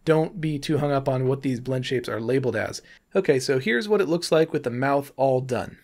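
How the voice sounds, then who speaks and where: A man's voice: mid-range voice, moderate pace, a little mellow. A man giving informal, explanatory tutorial narration in an easygoing, loose way. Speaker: tutorial presenter, animator.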